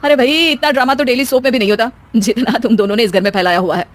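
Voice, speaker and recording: A woman's voice: on the high side, racing, lightly acted. Cartoon: woman voicing a fed-up young husband, weary and scolding. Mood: lecturing. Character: exasperated young husband, peacemaker. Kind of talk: cartoon voice acting.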